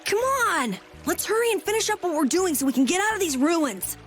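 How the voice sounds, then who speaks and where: A woman voicing a jumpy, excitable young boy adventurer in a video game, whiny and easily startled. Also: extremely high pitch, a little fast, soft background music. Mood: panicky. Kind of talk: English video game voice acting.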